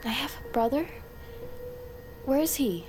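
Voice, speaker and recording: An actress playing a fed-up, defiant teenage daughter in an audio drama, sarcastic and confrontational. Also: female voice, high voice, animated, a little slow, a little mellow. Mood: shocked. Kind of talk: audio fiction acting.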